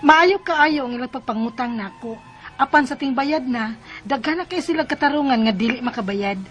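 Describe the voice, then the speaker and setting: An actress playing a hopeful, upbeat young wife who sells on credit, in Bisaya radio drama style with a Cebuano accent. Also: female voice, high-pitched, moderate pace, dull audio, music in the background, natural voice. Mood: dismayed.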